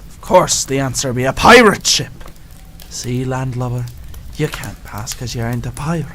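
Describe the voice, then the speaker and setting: A man acting a boastful, rough old pirate captain in a radio play, with theatrical sea-dog talk and exclamations. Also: mid-range voice, normal speed, fairly bright tone, natural voice. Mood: swaggering, wry.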